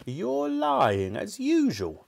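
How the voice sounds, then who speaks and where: A man's voice: wildly swooping pitch, drawn-out words, creaky, darkish tone, full character voice. A man voicing a nasty, grumpy old grandmother who screeches and snaps spitefully. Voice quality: shrill screeching. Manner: snapping, spiteful, scolding.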